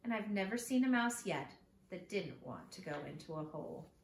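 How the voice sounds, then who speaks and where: A woman reading the part of a proper, fretful father in a children's storybook, earnest and deliberate. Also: on the low side, lively intonation. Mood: uneasy. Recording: echoey room with background noise.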